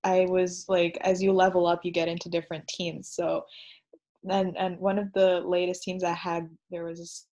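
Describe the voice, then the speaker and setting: A woman's voice: fairly low pitch, level tone, normal speed, darkish tone. A young woman from Pakistan co-hosting a podcast, telling stories in casual chat and laughing easily. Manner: storytelling, laughs easily, heavy use of "like". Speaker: podcast co-host.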